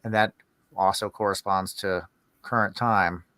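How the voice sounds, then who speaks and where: A man hosting a podcast in casual, rambling talk, musing aloud in halting phrases. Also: low voice, fairly even intonation, pushed and bright, no room sound. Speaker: podcast host.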